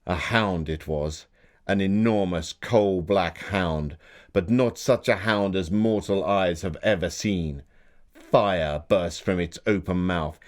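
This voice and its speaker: Victorian author, deep male voice, measured, formal, theatrical, exhibit narration of dark tales. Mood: horrified, dramatic. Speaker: voice actor. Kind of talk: dramatic scripted storytelling for an exhibit.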